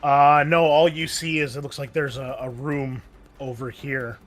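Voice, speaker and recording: Male game master, mid-pitched voice, casual and explanatory, music underneath. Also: level tone, a little slow, fairly bright tone. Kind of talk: casual tabletop game narration.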